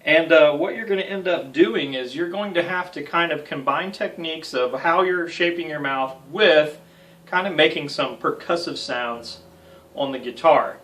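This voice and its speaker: A man's voice: fairly high pitch, normal speed, a bit bright, distant mic. An American man in his thirties giving a casual guitar tutorial with self-deprecating, joking asides. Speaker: guitar instructor. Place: small home music room.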